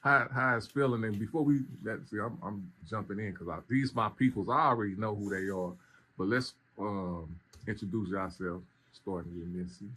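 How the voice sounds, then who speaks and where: A man with a lowish voice hosts a casual interview. He talks in slangy, informal phrases, with light noise behind him. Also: moderate pace, a bit bright, rough recording. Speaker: interviewer, show host. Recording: noticeable background room noise.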